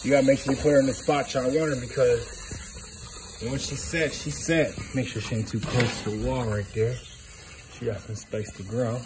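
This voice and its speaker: Man vlogging casually over clear background noise. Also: mid-range voice, steady tone, normal speed. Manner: playful, encouraging to family. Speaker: vlog host. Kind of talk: casual home vlog chat. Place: at home, indoors and out in the yard. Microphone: handheld phone. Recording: clearly noisy handheld phone recording.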